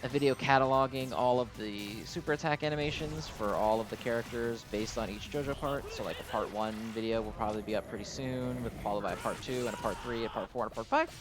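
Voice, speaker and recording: A man with a medium-pitched voice talks through a game as host of his own channel. He speaks casually and without a script, circling around his points and hedging as he goes. Music plays softly behind him. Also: quick pace, hardly any pauses. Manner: hesitant, rambling, often hedging his opinions. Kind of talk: casual unscripted game commentary.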